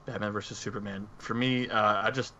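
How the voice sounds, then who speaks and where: A man's voice: on the low side, steady tone, fairly quick, sharp tone. A man in a casual movie discussion as a podcast panelist, speaking in hesitant, meandering phrases. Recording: dull lo-fi mic, faint noise.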